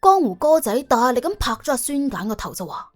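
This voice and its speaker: A woman's voice: high-pitched, very animated, a little fast. A woman narrating a comic crime audiobook in a dramatised, colloquial storytelling style. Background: soft background music.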